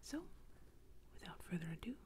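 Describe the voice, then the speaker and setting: A woman improvising a bedtime story in a soft, unvoiced ASMR whisper to help listeners sleep. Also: moderate pace.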